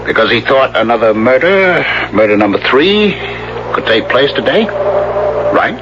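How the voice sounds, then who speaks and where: A man's voice: medium pitch, sing-song, a little slow. An actor in a 1970s radio drama playing a menacing, teasing male skier with an insinuating, mock-casual manner and pointed questions. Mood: ominous teasing. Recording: muffled, noisy old radio recording.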